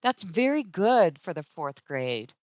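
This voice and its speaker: An actress playing a patient, gently reproving middle-aged mother in a radio sitcom, with an American accent and a soothing manner, on a dull old recording. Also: on the low side, very animated, takes it slowly, no echo. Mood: weary, placid.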